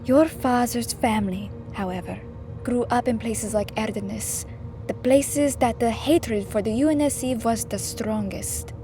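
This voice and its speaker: A woman's voice: on the high side, unhurried, music in the background, close to an everyday voice. A woman playing an anxious, protective middle-aged mother with earnest, emotional delivery in an audio drama. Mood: gentle, sad.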